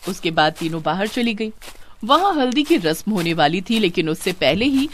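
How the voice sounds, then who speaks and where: A woman's voice: mid-range voice, sing-song, normal speed, noisy background. A woman narrating a scripted family moral story as a storyteller.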